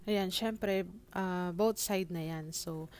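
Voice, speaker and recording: A woman's voice: fairly low pitch, slow, a little mellow. A Filipino woman vlogger chatting casually to viewers in an unboxing and review style, mixing in English informally. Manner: informal Tagalog-English code-switching.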